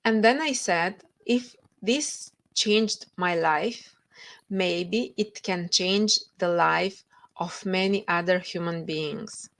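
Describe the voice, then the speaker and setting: A woman's voice: lowish voice, slow, creaky, a bit bright. A woman with a Romanian accent, a guest author telling her story with earnest feeling in a podcast interview over a video call.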